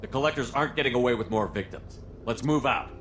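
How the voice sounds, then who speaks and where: A man's voice: mid-range voice, quick pace, background noise, lightly acted. A man playing a resolute, controlled military space commander in a video game, speaking in firm, terse lines. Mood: determined. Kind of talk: video game drama acting.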